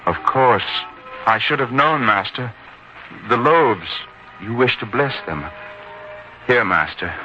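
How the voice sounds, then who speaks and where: A man playing a kindly, reverent disciple, earnest and deliberate, in an old-time radio drama. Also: mid-range voice, lively intonation, sharp tone, faint music behind. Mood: awed. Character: kindly, reverent male disciple, gently authoritative. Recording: dull lo-fi radio sound, faint noise.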